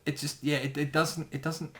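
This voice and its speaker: A man chatting casually as a commentator, thinking aloud in a halting way. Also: on the high side, little pitch movement, fairly bright tone. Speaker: creator giving episode commentary.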